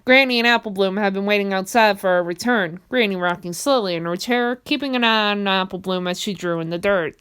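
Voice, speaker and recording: A young woman reading a fan comic dub in a steady first-person storytelling narration. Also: mid-range voice, brisk, few pauses, smooth.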